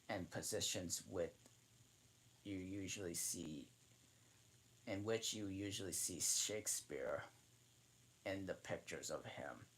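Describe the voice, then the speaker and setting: A man reading a children's classic aloud in a halting way, often restarting and correcting himself mid-sentence. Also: sits low, fairly bright tone, a little hiss or hum. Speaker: channel host reading aloud.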